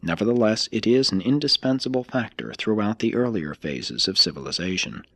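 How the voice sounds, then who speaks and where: A man reading a scripted spiritual text like an audiobook, in a measured, emphatic manner. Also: fairly low pitch, animated, a bit bright, dry recording.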